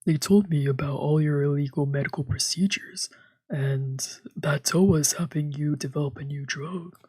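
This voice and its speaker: A woman voicing a blunt, distrustful younger man from a visual novel, husky and breathy. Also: low-pitched, moderate pace, darkish tone, no room sound. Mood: accusing. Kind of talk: reading visual novel dialogue aloud.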